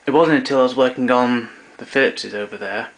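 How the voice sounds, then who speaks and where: A man narrating a casual show-and-tell of his tape recorders, explaining step by step with pauses and fillers. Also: medium pitch, fairly bright tone, rough recording.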